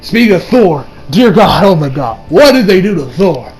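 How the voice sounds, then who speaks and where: Young guy with a high voice rambling through a movie review, swears a lot, bit of noise behind him. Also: normal speed, poor audio. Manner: excitable, profane, rambling. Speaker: video reviewer. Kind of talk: casual movie review chat over gameplay.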